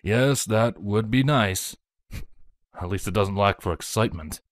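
A man voicing a devoted pony soldier husband in an audio story, earnest and expressive. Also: fairly low pitch, unhurried, dry recording, studio quality, close to an everyday voice. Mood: calm, amused. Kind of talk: audio story character reading.

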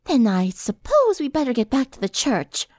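Woman voicing a higher-pitched refined young lady. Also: huge pitch swings, normal speed, darkish tone, no room sound, clean studio sound. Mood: weary.

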